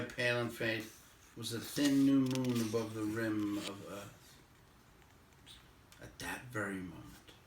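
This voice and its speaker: A man's voice: mid-range voice, fairly bright tone, slight background noise. Man reading a storybook in an echoey room. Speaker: audiobook reader. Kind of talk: storybook narration, read aloud. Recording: roomy echo, distant microphone.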